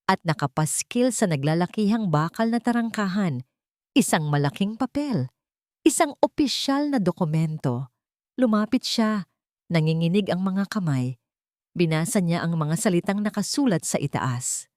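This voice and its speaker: A woman's voice: lowish voice, lively intonation, moderate pace, a little mellow, no echo, studio quality. A woman with a Filipino accent giving a scripted, dramatic story narration.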